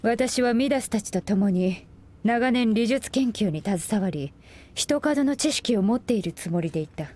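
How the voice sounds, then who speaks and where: An actress playing a stern, dignified woman scholar in a game, with archaic, stately speech and a husky voice. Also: a little high, animated, normal speed, a little mellow. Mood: grave. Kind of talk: Japanese game dub acting. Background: game music.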